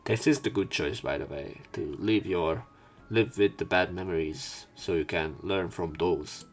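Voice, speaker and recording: Low-voiced man narrating a visual novel. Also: measured pace, faint music behind. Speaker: let's play commentator. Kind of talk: reading visual novel narration aloud with casual commentary.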